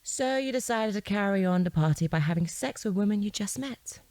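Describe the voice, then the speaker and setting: A woman's voice: mid-range voice, lively intonation, a little fast, a little mellow, no echo, lightly acted, poor audio. An actress playing a suspicious, persistent wife questioning her husband in a naturalistic audio drama, in a controlled, sceptical, probing way.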